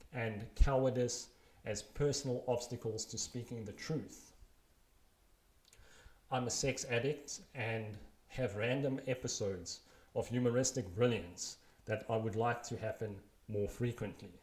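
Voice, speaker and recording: Male, 30s, South African accent, reading a personal blog essay. Earnest, measured, wry; roomy, echoing recording. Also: mid-range voice, a little mellow. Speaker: blogger reading his own post aloud.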